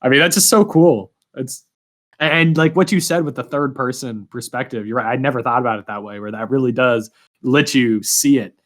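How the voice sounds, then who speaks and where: A man's voice: mid-range voice, a little fast. A man hosting a casual interview, speaking in a conversational, somewhat hesitant way. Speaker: podcast host, interviewer.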